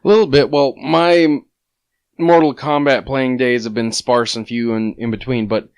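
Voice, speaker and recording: A man's voice: mid-range voice, fairly even intonation, normal speed, smooth. A man co-hosting a casual gaming podcast, grumbling and ranting with profanity. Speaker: podcast co-host.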